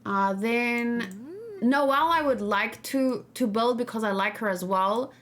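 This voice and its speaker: Higher-voiced woman chatting as a game streamer. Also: slow, fairly bright tone, a little hiss or hum. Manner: opinionated, thinking aloud, addressing viewers. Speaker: gaming streamer. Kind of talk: casual game reaction and discussion.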